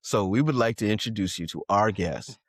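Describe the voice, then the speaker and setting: A lowish male voice hosting a podcast in loose, casual chat. He rambles, fills his speech with "like" and laughs often. The sound is dry and close, with no room around it. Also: expressive, fairly quick, no echo. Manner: rambling, heavy use of "like", laughs a lot. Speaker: podcast host.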